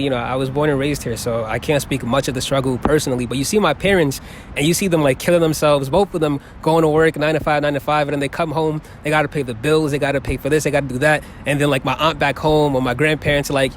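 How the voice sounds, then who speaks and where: A young man, chatting animatedly in a street interview outdoors. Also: fairly high pitch. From the Bronx, New York. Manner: animated storyteller, laughs often. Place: outdoor plaza, city park. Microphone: handheld phone held to him. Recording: faint outdoor city noise.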